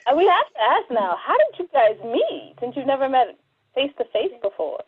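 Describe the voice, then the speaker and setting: A woman's voice: high voice, sing-song, normal speed. A woman co-hosting a podcast with casual, wry, joking banter. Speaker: podcast co-host. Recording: muffled, band-limited lo-fi audio.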